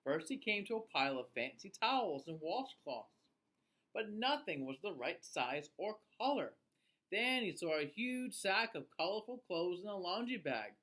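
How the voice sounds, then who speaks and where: A man reads a children's story aloud in an expressive teacher's style. His pitch moves widely from phrase to phrase, clearly shaped for young listeners. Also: moderate pace, poor audio.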